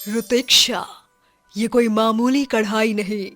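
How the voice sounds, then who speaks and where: A woman voicing a wise, kindly magic cooking pot in a cartoon moral story, calm and explanatory. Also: fairly high pitch, takes it slowly, soft background music. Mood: calm, explaining.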